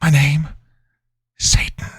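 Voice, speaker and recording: A man's voice: slow, dry recording, clean studio sound, lightly acted. A man voicing a mocking, sadistic demon in a horror story, with a guttural, whispery voice and a taunting manner. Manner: taunting, voice shifting between growl, sing-song and breathy whisper.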